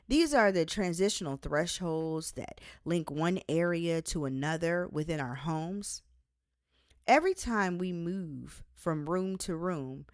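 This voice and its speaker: A woman narrates a devotional audiobook at an unhurried pace. Their voice sits a little low for a woman, and the delivery is deliberate, with emphatic phrasing. The sound is dry and close, with very little room on it. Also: no echo. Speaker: author reading their own book aloud.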